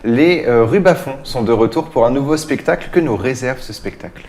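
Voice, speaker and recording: Male radio interviewer, slightly halting. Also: mid-range voice, lively intonation, darkish tone. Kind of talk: radio interview, prepared questions.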